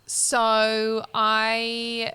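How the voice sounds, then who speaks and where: A woman's voice: on the high side, completely flat delivery, very slow, pushed and bright, clean tone. A woman in her thirties, born in New Zealand and raised in Samoa and Cornwall, chatting as a podcast guest, enthusiastic and full of "um" and "like". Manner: chatty, enthusiastic, many fillers like "um" and "like". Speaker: podcast guest, head of marketing. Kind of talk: casual interview chat. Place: coworking space.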